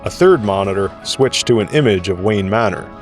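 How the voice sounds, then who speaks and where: A man's voice: fairly low pitch, expressive, normal speed, faint music behind. A man narrating an audio drama in a scripted storytelling style.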